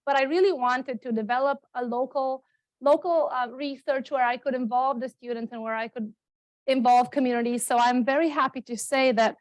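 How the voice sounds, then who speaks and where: A woman's voice: sits high, fairly even intonation, normal speed, dry recording, poor audio. Chatty Romanian-born woman lecturing, dry sound. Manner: chatty, self-correcting, with many ums and repeats. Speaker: guest speaker, geography professor. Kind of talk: public lecture. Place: meeting room, also streamed on Zoom. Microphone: standing microphone, not held.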